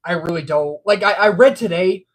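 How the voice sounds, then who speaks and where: A man's voice: sits high, moderate pace. A man hosting a casual movie news livestream, stuffed up from allergies, rambling and repeating himself with frequent ums.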